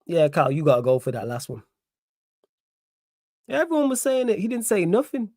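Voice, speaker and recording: A man's voice: high-pitched, huge pitch swings, fairly quick, mellow, dry recording, clean studio sound. Indignant Mancunian man streaming live. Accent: Manchester. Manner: indignant, repeats phrases, reads chat aloud. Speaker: livestream host. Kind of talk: live stream chat reaction.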